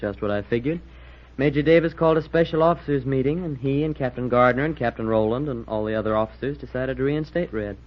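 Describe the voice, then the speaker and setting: Quick-talking chummy American cadet boy played by a man. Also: mid-range voice, close to an everyday voice. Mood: confiding. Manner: chummy, teasing, conversational. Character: Lee, chummy, teasing teenage military school cadet boy. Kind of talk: old-time radio serial acting. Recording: dull, noisy old radio recording.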